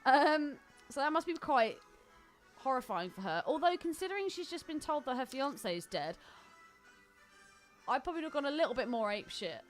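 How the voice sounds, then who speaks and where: A woman's voice: sits high, big pitch swings, moderate pace. A woman co-hosting a film podcast, chatting casually in a wry, teasing manner. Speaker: podcast co-host. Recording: film score playing underneath. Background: film soundtrack music.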